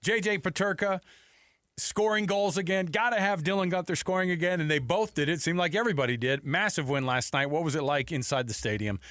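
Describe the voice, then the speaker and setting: A man hosting a sports radio talk show in an informal, slangy, animated way. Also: on the high side, a little fast, no room sound, studio quality.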